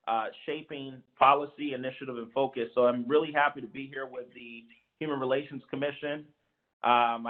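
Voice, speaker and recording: Flat-voiced activist man, tinny line. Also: medium pitch. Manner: flat, halting with fillers. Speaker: activist and commission member. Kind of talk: self-introduction at a public meeting. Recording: tinny phone-like call audio.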